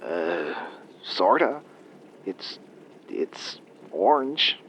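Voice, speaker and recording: A man's voice: low voice, very animated, drawn-out words, vocal fry, a bit bright, exaggerated voice. An actor playing a lonely, lovestruck male prison inmate calling a radio show, bashful and stammering over a phone line. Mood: nervous, evasive. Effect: phone caller filter. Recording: dull phone line with noise.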